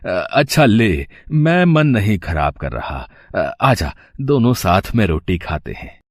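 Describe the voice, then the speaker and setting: A man voicing a poor old cobbler grandfather, gentle, weary and affectionate, in a moral story. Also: fairly low pitch, very animated, slow pace, dry recording, studio quality, exaggerated voice. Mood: tender. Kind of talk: animated moral story dialogue. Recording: clear street and traffic noise behind.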